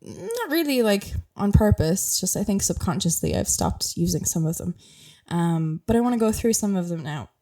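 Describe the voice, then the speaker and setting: A woman's voice: medium pitch, very animated, normal speed, hardly any pauses, mellow. A young Irish woman with a mild Irish accent hosting a podcast, chatting casually while sick with a cough and laughing often as she explains things. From Ireland. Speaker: podcast host.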